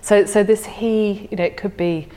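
A woman's voice: medium pitch, fairly even intonation, moderate pace, dark tone, in a large hall. A woman talking reflectively about poetry as an interview guest, thoughtfully repeating words as she goes. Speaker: podcast guest discussing a favourite poem.

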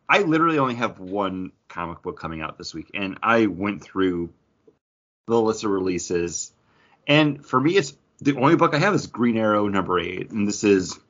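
Dude with lowish voice, casual podcast co-host chat, kinda muffled audio. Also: male voice, fairly bright tone.

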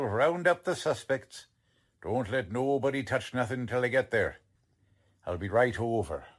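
A man playing a slow-talking, gruff police lieutenant. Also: mid-range voice, full character voice. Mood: brisk, businesslike. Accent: American. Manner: blunt, slangy, hemming and hawing. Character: gruff, bossy, rough-spoken male police lieutenant. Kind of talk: old-time radio crime drama acting.

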